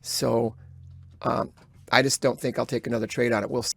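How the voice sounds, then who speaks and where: A man giving live day-trading commentary, thinking aloud in a halting, instructive way as he talks to himself and viewers. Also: mid-range voice, little pitch movement, creaky, a bit bright, breathy edge. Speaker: day trader recording a trading journal.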